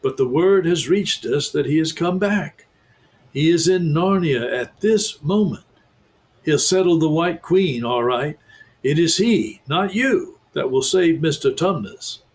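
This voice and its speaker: A man reading aloud and voicing an excitable, earnest male beaver who talks emphatically. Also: on the high side, a little slow, pitched above the performer's own voice. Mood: eager.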